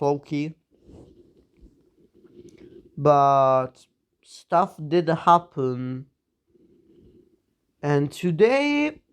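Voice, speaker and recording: A young man with a smooth, clear voice talks through a map scenario, drawling slowly with offhand asides. He sounds a bit sick. The sound is dry and close, with no room around it. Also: fairly high pitch, no room sound. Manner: rambling, offhand, teasing asides. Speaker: mapping video narrator. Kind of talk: casual narration over a map.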